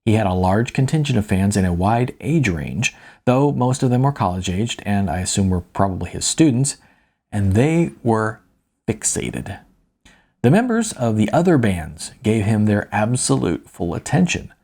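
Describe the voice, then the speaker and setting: A man narrating a first-person story in a wry, colloquial, emphatic storytelling manner, with a slightly husky voice. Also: lowish voice, big pitch swings, moderate pace, mellow. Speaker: author reading own novella.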